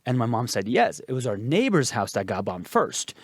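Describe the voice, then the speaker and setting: A man's voice: medium pitch, normal speed, slightly rough, no echo. Afghan-born man telling his story. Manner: relaxed, repeats phrases and fillers, occasional laughs. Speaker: guest. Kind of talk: casual storytelling interview. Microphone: podcast studio mic.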